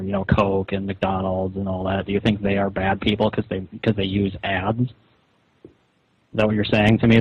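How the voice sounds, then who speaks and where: A man's voice: lowish voice, breathy edge. A man arguing on a phone call in a blunt, condescending, persuasive manner. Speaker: rival webcomic creator. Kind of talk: phone argument. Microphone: telephone. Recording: muffled echoey phone call.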